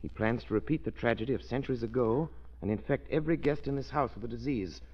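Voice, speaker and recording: An actor playing an urgent, decisive detective hero in a 1930s radio drama, with a brisk, clipped, commanding delivery. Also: male voice, medium pitch, natural voice. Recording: muffled lo-fi 1930s radio recording.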